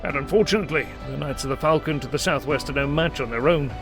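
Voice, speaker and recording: A man voicing a gruff, decisive cavalry captain in a fantasy audiobook, urgent and commanding. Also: a little high, fast, over music, voice pushed up, natural voice. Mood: grave. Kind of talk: dramatised fantasy audiobook character.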